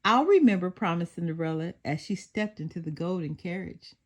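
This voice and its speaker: An older woman reading a children's storybook aloud, expressive and sing-song, with occasional small slips. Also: lowish voice, normal speed. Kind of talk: children's read-aloud narration.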